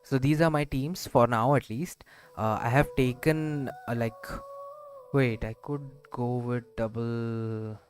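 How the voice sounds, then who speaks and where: A man's voice: mid-range voice, steady tone, takes it slowly. A man giving casual gameplay commentary as a streamer, thinking aloud in a rambling, hesitant way. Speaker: gaming streamer. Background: loud game music.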